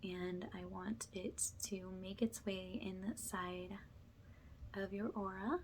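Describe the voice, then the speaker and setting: A woman speaks softly and slowly in an ASMR style, often slipping into a whisper. Her delivery stays even and gentle, with a casual, soothing way of guiding the listener. There is faint noise in the background. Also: medium pitch. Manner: soft-spoken, often drops into a whisper. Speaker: ASMR energy healer, host. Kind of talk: ASMR, guided spiritual cleansing.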